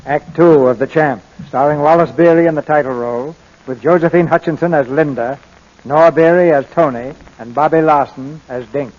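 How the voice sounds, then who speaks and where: Higher-voiced man narrating old radio drama. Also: normal speed, darkish tone. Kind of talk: scripted 1940s radio narration. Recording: muffled old 1940s radio recording. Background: faint music.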